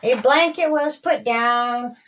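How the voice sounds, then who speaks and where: A woman narrating a rhyming children's story in a deliberate, singsong storytelling manner. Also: fairly high pitch, noisy background. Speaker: author reading own story.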